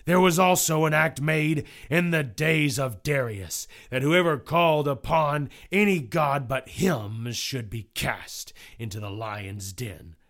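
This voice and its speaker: A man voicing a hateful, stern judge in a dramatized allegory, declaiming with deliberate emphasis. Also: medium pitch, gravelly, a bit bright. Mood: stern, accusing.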